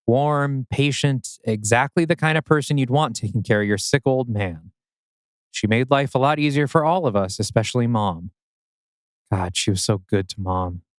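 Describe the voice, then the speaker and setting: A man acts out a troubled father in his thirties in an audio drama reading. His delivery is animated and intense, pressing with bitter, pointed questions in a close, dry recording. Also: mid-range voice, no echo, lightly acted. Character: anguished 39-year-old father, bitter and accusing.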